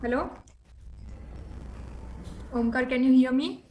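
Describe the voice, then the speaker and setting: A woman's voice: high voice, slow, dull audio. A woman with an Indian accent hosting a casual online meeting, heard over a noisy video call. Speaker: meeting host.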